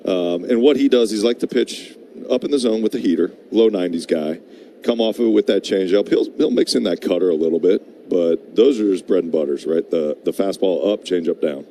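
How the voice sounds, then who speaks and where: Deep, mellow male baseball color commentator. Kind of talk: conversational live baseball analysis. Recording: live broadcast with clear background noise.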